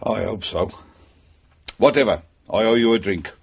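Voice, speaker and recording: Husky, cynical ex-policeman, muffled radio. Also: fairly low pitch, moderate pace. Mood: wry. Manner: guarded, wry. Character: wary, cynical male ex-policeman. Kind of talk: 1980s radio drama acting. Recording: dull, muffled radio broadcast recording.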